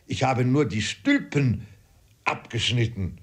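A humble manservant, put on by a man reading aloud, eager and simple. His husky voice halts and draws out words deferentially, as a character in a storybook. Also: mid-range voice, animated, pitched above the performer's own voice. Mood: apologetic. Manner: halting, humble, drawn-out. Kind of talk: audiobook character voice.

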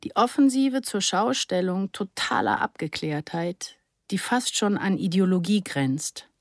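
A woman reading a reflective literary memoir aloud in a measured, articulate way. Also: medium pitch, lively intonation, dry recording, studio quality. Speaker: narrator reading a first-person memoir. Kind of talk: scripted literary narration, reflective essay read aloud.

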